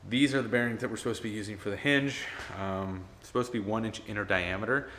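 A man's voice: fairly low pitch, fairly even intonation, quick pace, a bit bright. A man in his thirties talking casually and matter-of-factly to camera, like a DIY builder explaining his project. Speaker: DIY builder, video host. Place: small garage workshop, indoors. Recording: clear background noise in garage workshop.

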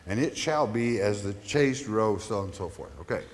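A man's voice: lowish voice, animated, moderate pace, in a large hall. A male pastor teaching a Bible study in a conversational way, reading scripture aloud and putting questions to his listeners.

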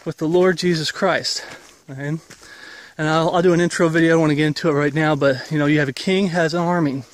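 Man talking while walking a wooded trail. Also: on the high side. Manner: casual, rambling, earnest preaching tone. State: getting over an illness. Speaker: ministry leader. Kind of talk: walk-and-talk video update. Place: outdoors on a wooded path. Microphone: handheld camera at arm's length.

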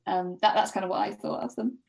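A woman's voice: medium pitch, steady tone, fast talker, vocal fry, darkish tone. A woman guest on a podcast discussing a book casually, thinking aloud in a hesitant way.

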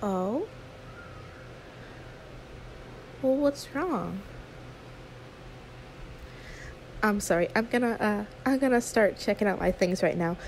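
A woman's voice: mid-range voice, lively intonation, moderate pace, slight background noise, close to an everyday voice, low-quality recording. A woman voicing an anxious, sweet young female YouTuber in an audio roleplay, stammering, awkward and apologetic. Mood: sympathetic. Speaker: ASMR roleplay performer. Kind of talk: one-sided ASMR audio roleplay.

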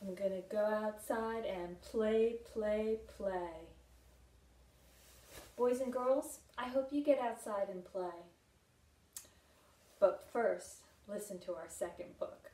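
Very slow sing-song older woman, roomy sound. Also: medium pitch, mellow. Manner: sing-song, child-directed, acting out movements. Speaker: library storytime leader. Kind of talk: children's action song and talk. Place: living room. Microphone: camera a few steps away. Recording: roomy, distant, some background noise.

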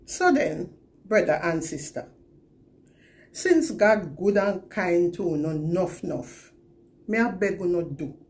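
An older woman with a Jamaican accent reads scripture to camera in a measured, deliberate way. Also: fairly low pitch, expressive, darkish tone. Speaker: scripture reader. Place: plain indoor room, seated.

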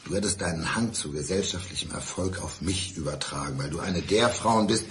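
An actor in a German radio crime drama playing a bitter abandoned ex-husband, mock-polite, deliberate and accusing, set on revenge. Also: male voice, sits low, natural voice. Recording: roomy studio sound, faint noise.

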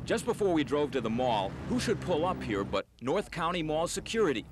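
A man's voice: on the high side, big pitch swings, a little fast, background noise. A man narrating a scripted feature story as a TV news reporter on 1980s field tape.

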